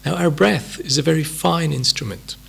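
Gravelly Swiss-accented meditation teacher. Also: male voice, fairly high pitch, a bit bright. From Switzerland. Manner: unhurried, reflective, many pauses and fillers. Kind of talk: dharma talk at a retreat.

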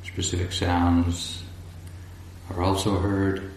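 A man guiding a meditation as a teacher, speaking calmly and deliberately in short phrases with long pauses. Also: low-pitched, gravelly. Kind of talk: guided meditation instruction. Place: large echoey indoor room at a retreat. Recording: roomy echo, faint background noise.

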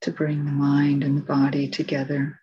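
A woman meditation teacher giving guided instructions in a soft, gentle, unhurried way. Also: low voice, completely flat delivery, breathy edge. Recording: dull, muffled lo-fi recording.

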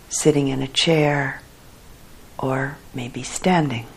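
A woman leading a guided meditation for a group as their teacher, speaking in a calm, measured way with long pauses. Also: deep voice, slightly rough.

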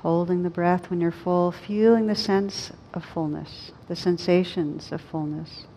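A woman meditation teacher leading a slow guided meditation in a gentle, soothing, unhurried manner with long pauses. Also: fairly even intonation. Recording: dull lo-fi recording with rumbling background noise.

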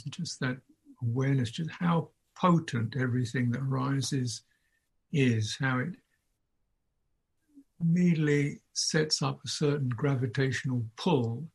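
A man guides a meditation in a mid-pitched, slightly husky voice. He speaks very slowly and contemplatively, setting out short phrases with long pauses between them, as a teacher finding the words in the moment. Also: a little mellow. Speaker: Buddhist monk, meditation teacher. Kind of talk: unscripted guided meditation talk.

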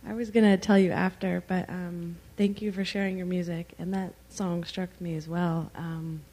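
A woman in the audience thanking the teacher, speaking softly and tenderly, moved by a song. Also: lowish voice, flat delivery, normal speed.

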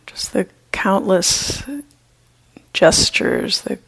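A woman leading a guided meditation as a teacher, speaking gently and unhurriedly with long pauses. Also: mid-range voice, fairly even intonation, gravelly, slightly rough, whispered, poor audio.

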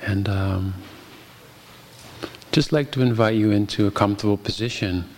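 A man guiding a meditation as a teacher, speaking gently and unhurriedly with long pauses. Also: on the low side, a little hiss or hum. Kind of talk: guided meditation.

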